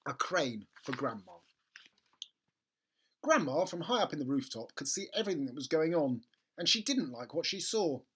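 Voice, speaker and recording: A storybook reader narrating a children's tale in an expressive, theatrical way. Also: medium pitch, normal speed, a little hiss or hum. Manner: animated, theatrical.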